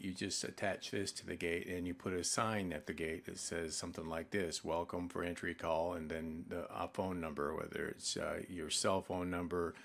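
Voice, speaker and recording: A man narrating an informal product demonstration in a conversational, explanatory way, pausing mid-sentence. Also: deep voice, fairly bright tone. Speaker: product presenter for an online retailer.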